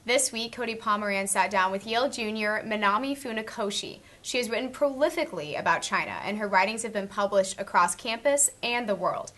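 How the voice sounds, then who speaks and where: Woman anchor, crisp scripted intro read, mid-pitched. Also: a little fast, few pauses, sharp tone. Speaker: news anchor. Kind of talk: scripted news segment introduction.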